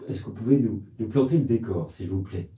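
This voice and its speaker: Male interview host, playful and drawn-out delivery, low dark voice, echoey room, far from the mic. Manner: playful, drawn-out, joking questions. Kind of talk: casual interview hosting. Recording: roomy and distant off-camera mic.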